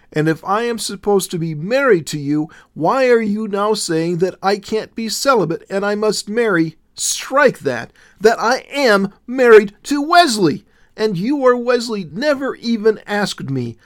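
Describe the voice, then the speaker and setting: A man's voice: a little high, expressive, unhurried, dry recording, studio quality. A man voicing an anxious, defensive young woman who stammers and protests nervously. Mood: nervous, indignant.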